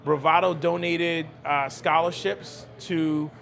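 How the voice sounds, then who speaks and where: A man's voice: a little high, fairly even intonation, takes it slowly, creaky, fairly bright tone. A man talking conversationally as an interview guest, speaking emphatically and repeating phrases. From Brooklyn, New York, United States. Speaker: cigar lounge owner, podcast guest.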